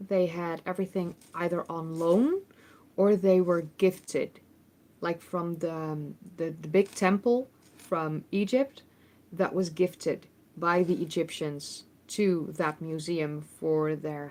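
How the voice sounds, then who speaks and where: A Dutch-accented woman in her thirties with a slightly low voice, answering viewer questions on a relaxed livestream. She talks in a chatty, thinking-aloud way, full of ums and restarts. She is recorded on a phone microphone in an ordinary room at home. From Netherlands. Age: 30s. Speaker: history YouTuber and host. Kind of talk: casual livestream Q&A, reading chat questions. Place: temporary room in a family home.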